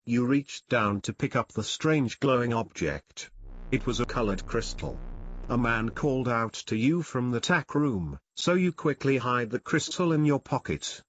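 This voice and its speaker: A man's voice: mid-range voice, lively intonation, a little slow. A man reading a scripted story for children as its narrator.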